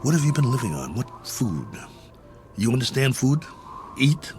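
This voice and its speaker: An actor with an American accent playing a stranded, weary, wry colonel in a 1960s television drama, explaining things in simple, halting phrases. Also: male voice, medium pitch, big pitch swings, close to an everyday voice. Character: stranded one-armed colonel, weary, wry, patient then exasperated spaceman. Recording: old TV soundtrack with music underneath.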